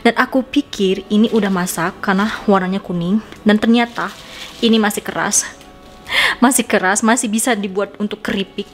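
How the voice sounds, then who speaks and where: A woman's voice: mid-range voice, steady tone, moderate pace, soft background music. A woman from Indonesia, chatting casually to viewers in an informal, rambling, giggly vlog style. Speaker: vlogger.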